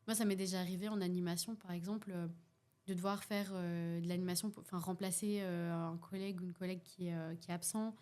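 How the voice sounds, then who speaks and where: Young Belgian woman, halting and hesitant. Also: on the low side, level tone, mellow. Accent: Belgian French. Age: young adult. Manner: hesitant, many fillers and restarts. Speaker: podcast participant, youth workshop facilitator. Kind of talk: casual podcast discussion.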